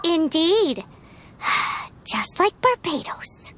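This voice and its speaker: Squeaky, childlike fairy guide. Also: female voice, very animated, extremely slow, fairly bright tone, exaggerated voice. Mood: curious, bossy. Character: tiny floating childlike fairy companion, chirpy, eager guide. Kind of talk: video game voice acting. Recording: music behind the voice.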